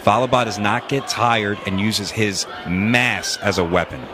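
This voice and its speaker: A man calling wrestling moves in quick succession as play-by-play commentator, with jokey asides. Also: lowish voice, noisy background.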